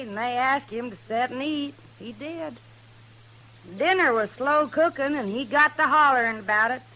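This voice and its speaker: High, sharp-voiced stubborn hillbilly woman. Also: rough recording. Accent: American hillbilly, Ozark. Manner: rustic, blunt, folksy. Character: stubborn, worried hillbilly woman of a feuding family. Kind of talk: old-time radio western acting. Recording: old radio drama recording.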